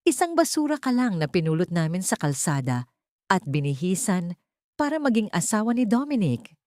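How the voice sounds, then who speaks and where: A woman's voice: fairly low pitch, big pitch swings, normal speed, a little mellow, no room sound, studio quality. A woman voicing a haughty older mother-in-law in an audio story, trembling with desperation and scorn. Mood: angry desperation. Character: haughty older rich mother-in-law losing her power.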